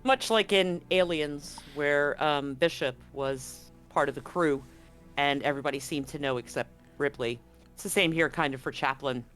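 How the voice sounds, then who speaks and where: A man's voice: fairly high pitch, normal speed, a bit bright, faint music behind. A man narrating a live-streamed tabletop roleplaying game as the game master.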